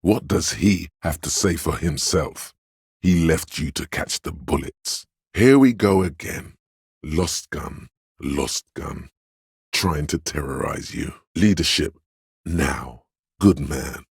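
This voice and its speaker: Very deep, imperious voice of authority. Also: male voice, slow pace, vocal fry, husky, no room sound, clean studio sound, exaggerated voice. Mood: stern. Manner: declamatory, imperious, clipped pronouncements. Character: commanding male inner voice of authority, stern, imperious, pompous. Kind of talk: scripted video game narration and voice acting.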